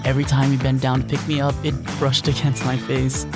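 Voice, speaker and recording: Loud music plays under an actor as a grieving rural man in an audio drama. His voice has a gravelly edge, and he speaks in a wistful, reflective way with dramatic pauses. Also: medium pitch, monotone, natural voice. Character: grieving rural man fondly remembering his late grandfather.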